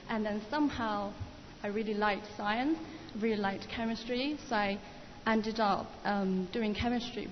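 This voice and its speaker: Young woman from Shenzhen, echoey hall. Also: mid-range voice. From Shenzhen, China. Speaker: participant introducing herself. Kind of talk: informal self-introduction to a group. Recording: dull, hissy, echoey hall recording.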